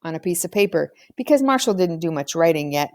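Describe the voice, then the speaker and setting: A woman's voice: lowish voice, animated, fairly quick, darkish tone, dry recording. Woman narrating in a dry recording. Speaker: audiobook reader. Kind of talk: scripted storybook narration.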